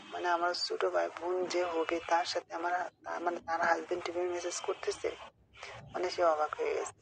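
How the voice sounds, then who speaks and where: A woman's voice: lowish voice, vocal fry. A woman with a Bangladeshi accent chatting confidingly on a phone call, rambling and often checking that her friend follows. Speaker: caller telling a friend a personal story. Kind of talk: casual confiding phone chat. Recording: phone call recording, faint noise.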